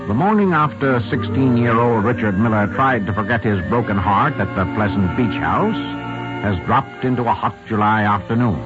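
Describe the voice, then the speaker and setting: Animated male host, tinny old radio. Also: lowish voice, normal speed, over music. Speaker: radio drama host, narrator. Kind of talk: formal scripted 1940s radio presentation. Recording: thin vintage radio broadcast, phone-like.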